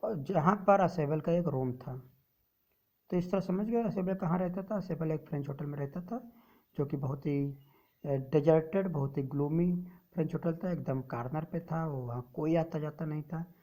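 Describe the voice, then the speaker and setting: Woman teacher going over a story for kids, lowish mellow voice, kinda dull lo-fi sound. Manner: reads English lines slowly, then explains in Hindi. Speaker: English teacher. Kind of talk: explaining a textbook story to students.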